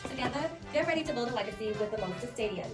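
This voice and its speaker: A woman's voice: fairly high pitch, lively intonation, rapid-fire. A woman hosting a scripted game show with playful, teasing banter. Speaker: game show host of a student role play. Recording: echoey, distant mic, music behind.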